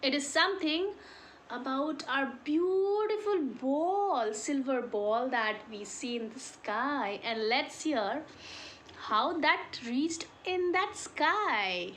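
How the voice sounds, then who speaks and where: A woman telling a folk tale to children in an expressive way, drawing out key words and putting questions to her listeners. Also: high-pitched, slow pace, a little hiss or hum. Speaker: storyteller.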